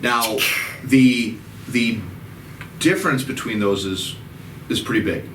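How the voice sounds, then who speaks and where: A man's voice: medium pitch, slow pace, fairly bright tone, slight background noise. A man with a Chicago-area American accent, hosting a casual talk and patiently explaining with many repeats and restarts. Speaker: title company host. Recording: echoey room, mic at a distance.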